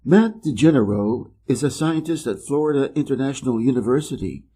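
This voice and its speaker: A man from Wisconsin with an American Midwest accent, reading an article slowly and carefully for English learners. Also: mid-range voice, animated, warm tone. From Wisconsin, USA. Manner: measured and deliberate, clearly pronounced. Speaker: reader of an English learning channel. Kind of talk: slow, careful reading aloud.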